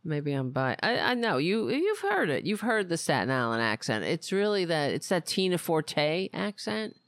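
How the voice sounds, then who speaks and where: Wry host with very lively, swooping pitch. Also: moderate pace, no room sound, clean studio sound. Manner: wry, self-mocking, exasperated. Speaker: host of a political talk show. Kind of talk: casual rambling political commentary.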